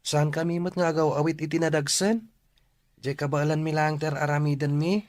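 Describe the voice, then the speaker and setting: A man's voice: on the high side, flat delivery, moderate pace, darkish tone, no room sound, natural voice. A man playing an earnest, respectful young boy in an Ilocano radio drama. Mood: reassuring, earnest.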